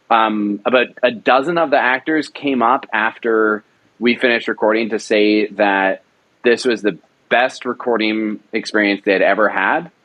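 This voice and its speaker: A man's voice: on the low side, highs cut off. Earnest man talking about story craft. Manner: earnest, emphatic, repeats words while thinking. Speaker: audio drama producer. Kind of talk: passionate conversational interview.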